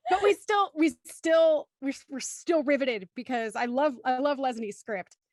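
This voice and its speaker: A woman with a very high voice hosts a livestream in a dry, close sound. Her manner is bubbly and enthusiastic as she chats with and introduces a cast. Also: unhurried, no echo. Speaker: host introducing an online show's cast. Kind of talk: livestream hosting, chatty banter.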